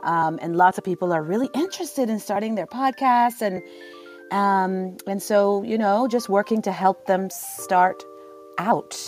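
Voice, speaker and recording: A woman's voice: mid-range voice, measured pace, darkish tone. A woman from Sudan hosting a live panel conversationally, in an informal, affirming way. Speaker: panel moderator, journalist and podcast host. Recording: live audio-app chat, faint music.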